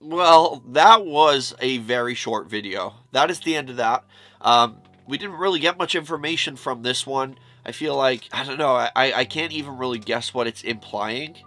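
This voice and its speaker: A man's voice: mid-range voice, moderate pace, sharp tone. A man in his thirties with a stuffy, nasal voice, chatting casually and rambling as he hosts a reaction video from a small room. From New York, US. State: congested, stuffy nose. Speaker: YouTube reaction host. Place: small room full of horror collectibles.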